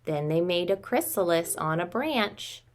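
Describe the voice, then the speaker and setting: Woman preschool teacher in her 30s, takes it slow, simple words for little kids, doing a craft demo. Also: on the low side, expressive, a little hiss or hum. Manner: simple, child-directed talk, addressing listeners as friends. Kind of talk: craft demonstration for young children. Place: small room at home.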